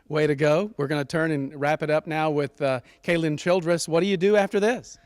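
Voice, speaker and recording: A man's voice: fairly high pitch, fairly even intonation, fairly quick, darkish tone, clean tone, no echo, clean studio sound. A man hosting a broadcast-style interview, introducing guests and wrapping up segments. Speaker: interview host.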